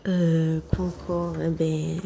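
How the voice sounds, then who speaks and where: A woman singer talks casually in an interview, relaxed and a little hesitant. She answers frankly, pausing with fillers before she commits. Her pace is slow and unhurried. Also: deep voice, gravelly, big-room sound, slight background noise.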